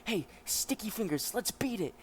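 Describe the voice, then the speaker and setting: A man reads as a young English gentleman from a comic, well-mannered and firm, fearless, with a temper underneath. Light noise sits behind the slightly high voice. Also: sing-song, normal speed. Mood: rueful, panicked. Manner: courteous, firm.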